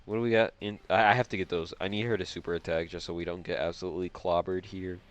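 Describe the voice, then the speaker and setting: A man's voice: sits low, fairly even intonation, quick pace, faint music behind. A man with a stuffed-up, congested nose giving casual gameplay commentary, slangy and repeating phrases to himself. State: nasally congested, breathing through mouth. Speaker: gaming video host.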